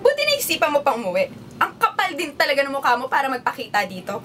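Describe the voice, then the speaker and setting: A woman's voice: sing-song, fast talker, over music. A woman playing a wounded, accusing wife who confronts her cheating husband in an amateur radio drama. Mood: accusing, scornful. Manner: accusing, shrill, emotional.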